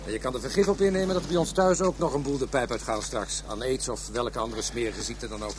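A man's voice: mid-range voice, quick pace, background noise, lightly acted. An actor playing a world-weary, knowing Dutch police detective in a radio drama, a man with a matter-of-fact, grim way of explaining things. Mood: resigned.

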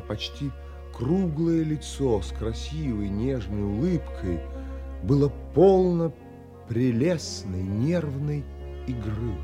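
An actor playing an awestruck, romantic seafaring man who tells his story in reverent, dramatic literary phrases in an audio drama. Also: medium pitch. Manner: reverent, dramatic, with long pauses.

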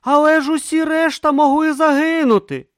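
A man's voice: extremely high pitch, fairly even intonation, normal speed, dry recording, studio quality, voice pushed up. A man playing a fretful, naive young novice. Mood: anxious.

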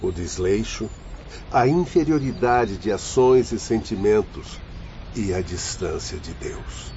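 Actor as mellow, low-voiced grave Brazilian doctor. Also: male voice, lively intonation. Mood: grave, admonishing. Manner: formal, deliberate, earnest. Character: grave male spirit doctor, earnest and scholarly. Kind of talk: radio drama acting. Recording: dull lo-fi recording, background noise.

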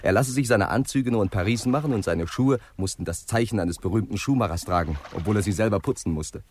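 Actor as a hesitant, lowish-voiced police inspector. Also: male voice, close to an everyday voice. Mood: puzzled, earnest. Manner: halting, tentative, puzzling things out. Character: earnest, hesitant, deferential junior police inspector. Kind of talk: 1960s German radio crime drama acting.

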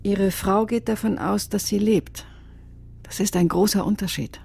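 An actress playing a solemn, soulful female psychic medium in a radio crime drama, speaking in a measured, hesitant, grave way. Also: medium pitch, steady tone, creaky, darkish tone, husky. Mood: grave, probing.

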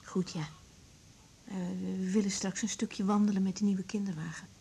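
A troubled young Dutch woman, played by an actress, speaks at a middling pitch in a 1980s radio play. She is hesitant and guilt-ridden, stumbling over words with feeling. Light outdoor noise sits behind her. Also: fairly even intonation, moderate pace, gravelly, dark tone, natural voice. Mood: ashamed, agitated. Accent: Dutch (Netherlands). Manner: hesitant, stammering, emotional delivery. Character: troubled young female doctor and new mother, anxious, guilt-ridden. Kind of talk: naturalistic 1980s radio drama acting.

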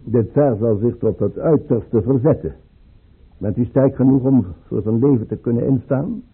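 An actor playing an anxious, principled imperial chancellor with formal, measured, troubled delivery in a Dutch radio play. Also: male voice, medium pitch, husky. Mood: uneasy, resigned. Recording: muffled, narrow-band old radio recording.

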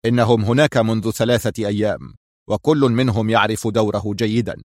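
A man's voice: lowish voice, fairly quick, a little mellow, no room sound, clean studio sound, close to an everyday voice. A man playing a stern, mysterious boss of a criminal organisation, curt and firm, in a story reading. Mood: firm, explanatory. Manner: curt, firm, cold authority.

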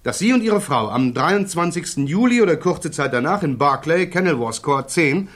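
An actor playing a probing, insistent prosecuting counsel in a German radio drama, speaking formally and precisely. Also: male voice, a little high, animated, normal speed, a bit bright. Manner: formal, precise, pressing interrogation.

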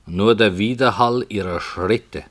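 A man playing a brooding, polite author who narrates a radio play in a solemn, measured manner. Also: fairly low pitch, fairly bright tone. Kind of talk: literary scripted narration in a 1960 radio play.

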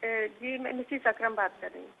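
A woman's voice: fairly high pitch, brisk, creaky, slight background noise. A woman calling in as a worried mother, rambling and repeating herself. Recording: dull phone line.

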